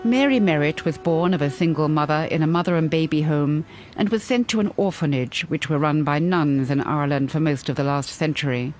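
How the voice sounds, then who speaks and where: A woman reporter giving steady, measured documentary narration. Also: low voice, soft background music.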